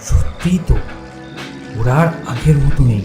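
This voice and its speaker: জোরালো বাজনার ওপর পুরুষ গল্পকথক. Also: male voice, mid-range voice, measured pace. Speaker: storyteller. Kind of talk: dramatic scripted horror narration. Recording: very loud music behind.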